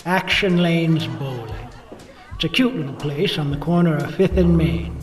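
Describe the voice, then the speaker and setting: Man acting hardboiled radio gumshoe, world-weary, wisecracking, noir narration, roomy sound, soft music underneath. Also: a little high, normal speed, some chatter behind, lightly acted. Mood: brooding, wry. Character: weary, wisecracking 1950s male police detective.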